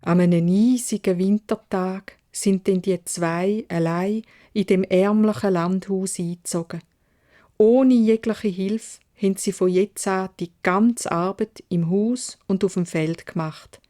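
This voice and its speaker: A woman's voice: medium pitch, fairly even intonation, a little slow, dark tone. Swiss German woman telling a fairy tale. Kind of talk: expressive children's story narration in dialect.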